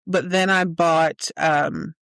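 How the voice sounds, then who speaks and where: A woman with a slightly low voice and a dry, close sound. She speaks casually as a podcast host, putting questions and reacting in a conversational way. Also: fairly even intonation, slow, vocal fry, a bit bright, no room sound. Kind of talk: casual interview chat.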